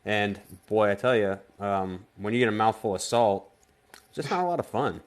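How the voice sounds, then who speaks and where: A man from Vermont, a guest artist on a casual live-stream interview, speaking in a halting way with frequent restarts. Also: lowish voice. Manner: halting, stops and restarts often.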